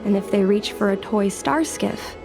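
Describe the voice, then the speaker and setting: A woman's voice: mid-range voice, moderate pace, gravelly, natural voice. A woman playing a spirited, earnest young fox-woman who dreams of piloting, in a video game. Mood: earnest, determined. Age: young adult. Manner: perky, expressive, earnest. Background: music.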